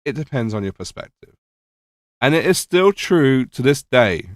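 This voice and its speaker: A man's voice: mid-range voice, big pitch swings, normal speed, no room sound, clean studio sound. A man reacting to a video in casual commentary, slightly nasal and losing his voice, sarcastic and swearing. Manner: sarcastic, swears, mock-questioning asides.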